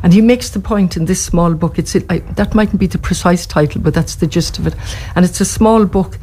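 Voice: mid-range voice, brisk, mellow, slight background noise. An interview guest from Ireland with an Irish accent, speaking passionately and thoughtfully, often restarting phrases. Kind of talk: earnest conversational interview answers.

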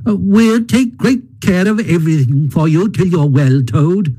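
A gentle, earnest mole from a storybook, as a man reading aloud puts him on. He speaks a little high and soft, with a husky, sincere tone. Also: measured pace. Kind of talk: audiobook reading.

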